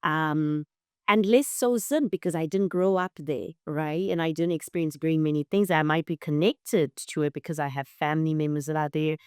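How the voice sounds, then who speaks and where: Low-voiced woman. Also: normal speed, clear, resonant tone, no room sound, clean studio sound. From South Africa. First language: Shona. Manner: thoughtful, frequent "right?" tags. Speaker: guest. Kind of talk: reflective interview talk.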